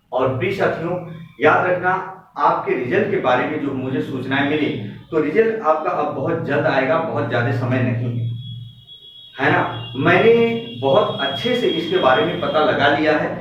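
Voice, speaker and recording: A man's voice: a little high, a bit bright. A man speaking as a coaching teacher, giving students an exam update in an emphatic, exhorting way with repeated phrases. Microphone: distant microphone. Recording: very echoey room, distant muffled mic, faint noise.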